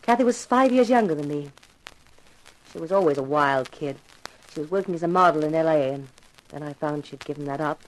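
An actress playing a brisk, skeptical, businesslike television producer in a 1950s radio drama. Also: female voice, low voice, lightly acted, low-quality recording. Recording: vintage 1950s radio recording.

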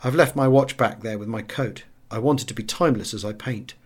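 A man narrating a wartime memoir as a scripted audiobook, measured and expressive, letting phrase endings fall hushed. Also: mid-range voice.

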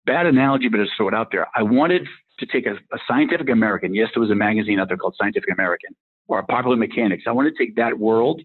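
Massachusetts guy, 50s, tech exec rambling thru his career, husky voice, sounds like a phone line. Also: lowish voice, rapid-fire. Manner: rambling, acts out dialogue in anecdotes. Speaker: tech executive guest. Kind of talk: casual career storytelling.

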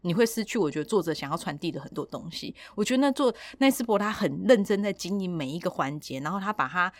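A woman with a Taiwanese Mandarin accent hosts a casual podcast chat. Her voice sits a bit low and she talks quickly, in an animated, opinionated way. The sound is dry, with hardly any room to it. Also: no echo. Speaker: podcast host.

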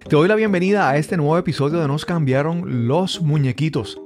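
A man's voice: a little high, fairly quick, darkish tone, soft background music. A man hosting a podcast interview, talking conversationally with frequent fillers and restarts. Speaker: podcast host.

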